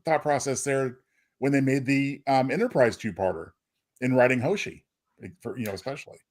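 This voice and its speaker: Male podcast panelist, rapid delivery, medium pitch, conversational and analytical. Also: a little mellow. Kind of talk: casual analytical discussion.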